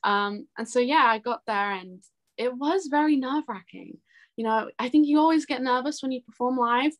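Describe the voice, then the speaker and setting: A young woman talking chattily and earnestly as a guest in a casual interview. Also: fairly high pitch. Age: young adult. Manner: chatty, earnest, laughs easily. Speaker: interview guest, singer-songwriter.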